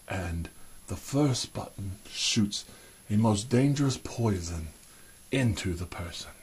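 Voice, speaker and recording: Man playing unhurried, low-voiced spy gadget-man, put-on British accent. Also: a little mellow, slightly rough, exaggerated voice. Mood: matter-of-fact demonstrating. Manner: genteel, reminiscing, old-fashioned turns of phrase. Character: chummy old-guard American spy gadget-man, disguised as British. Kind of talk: improvised one-sided spy roleplay, addressed to the listener.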